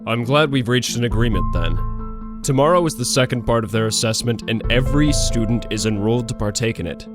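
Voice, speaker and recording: A man playing a calm, authoritative commander in an audio drama, with a measured, formal way of speaking. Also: mid-range voice, close to an everyday voice. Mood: composed, firm. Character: calm, authoritative male commander of hero legion. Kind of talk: scripted audio drama acting. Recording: voice over clear guitar music.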